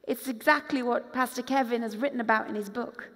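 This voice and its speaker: Woman, pastor, high voice, echoing hall, lively sermon. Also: fairly quick. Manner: animated preacher, acts out quoted voices, moves around the stage. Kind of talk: conference sermon. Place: large dark stage with hall reverb. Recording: long hall reverb.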